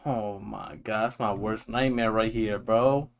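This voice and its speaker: A man with a fairly low voice comments casually as a reaction streamer. He speaks in slangy, short interjections, as if reacting to what he watches. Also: fairly even intonation, measured pace, gravelly, loud music behind the voice.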